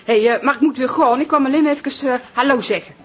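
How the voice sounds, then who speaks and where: An actress playing a bubbly, brash young seamstress with a southern Dutch Brabant accent, gushing and informal, in a radio drama. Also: female voice, sits high, fast, lightly acted. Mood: chummy, breezy. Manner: gushing, informal, provincial slang. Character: bubbly, brash young seamstress newly arrived, slangy and chummy. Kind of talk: modern radio drama acting.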